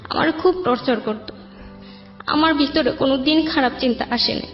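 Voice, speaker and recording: A Bangladeshi woman recounts her own life as a guest in an interview. Her voice sits a little high and she speaks colloquially. The recording is echoey and dull, with music under it. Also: normal speed. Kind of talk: personal life storytelling, conversational. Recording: echoey room, dull sound, faint music.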